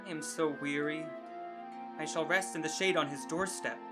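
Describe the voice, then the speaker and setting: A man's voice: fairly high pitch, fairly even intonation, normal speed, close to an everyday voice. An actor playing a poor, weary male porter with a lamenting, declamatory manner in an audio drama. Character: poor, weary, complaining porter, humble and courteous. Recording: prominent guitar music under the voice.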